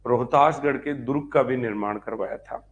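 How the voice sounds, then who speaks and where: A man's voice: mid-range voice, moderate pace, lo-fi sound. A man giving a live online history lecture as a teacher, dictating notes, repeating points and posing check questions to students. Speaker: history teacher for exam preparation.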